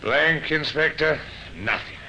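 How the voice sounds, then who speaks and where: An actor playing a plain-spoken, dogged police sergeant in a radio drama, blunt and clipped. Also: male voice, on the high side, slow, vocal fry. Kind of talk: 1950s radio drama acting. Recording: dull, noisy old radio recording.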